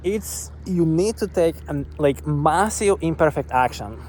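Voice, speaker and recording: Eager man, slightly high voice. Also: animated, unhurried, darkish tone, slight background noise. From Slovakia. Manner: eager, salesy, many fillers and restarts. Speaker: online business vlogger. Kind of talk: upbeat to-camera vlog pitch. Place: outdoors on a tropical beach.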